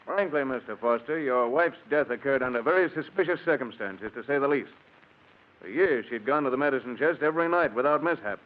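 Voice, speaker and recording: A man's voice: medium pitch, animated, brisk, natural voice. A man playing a stern, skeptical district attorney in a 1940s radio drama, formal, probing and authoritative. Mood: stern, official. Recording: thin, phone-like old radio recording.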